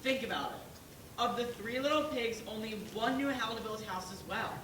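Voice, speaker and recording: A woman's voice: a little high, a little fast, lightly acted. Woman acting a questioning pig juror, far off. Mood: reasoning, doubtful. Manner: questioning, argumentative. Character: reasonable pig juror, calmly doubting the wolf's guilt. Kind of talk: school stage play acting. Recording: roomy, distant, noisy stage recording.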